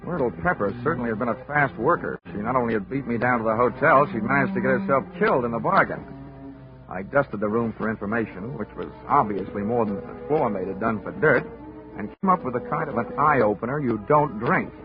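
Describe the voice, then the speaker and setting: A man's voice: mid-range voice, brisk, music in the background, lightly acted. Hard-boiled male investigator, played by an actor: dry wit, takes charge, 1940s-style radio drama, muffled broadcast. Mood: wry narration. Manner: wry, deadpan, commanding. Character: wisecracking, unflappable male insurance investigator. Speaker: detective lead and narrator. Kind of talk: old-time radio detective acting, hard-boiled narration.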